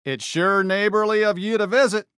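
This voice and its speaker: A man's voice: high voice, moderate pace, a bit bright, smooth, dry recording, studio quality. A man voicing a friendly, upbeat toy cowboy sheriff for a video game, with an American accent and a folksy Western drawl. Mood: friendly, encouraging. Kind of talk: animated video game character lines.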